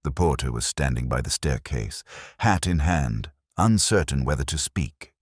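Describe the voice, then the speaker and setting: A man playing a brooding, cerebral older detective inspector, narrating a mystery in a measured, grave, literary manner for a radio drama. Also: rumbling low, expressive, husky, no echo, studio quality, heavily acted. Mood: brooding, reflective.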